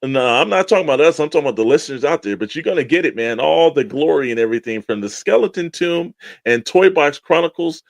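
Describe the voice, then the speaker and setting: A man's voice: fairly high pitch, lively intonation, fast, vocal fry, no room sound. A man co-hosting a podcast, talking in a rambling, enthusiastic, informal way as he plugs shows. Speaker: podcast co-host. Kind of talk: casual promotional podcast chat.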